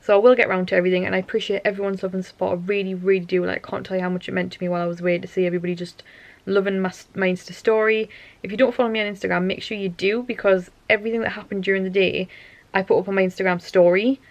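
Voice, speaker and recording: Tired, gravelly-voiced woman vlogging. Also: mid-range voice, flat delivery, racing, dry recording. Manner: rambling, chatty, self-interrupting. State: tired, losing her voice. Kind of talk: casual chatty monologue to camera.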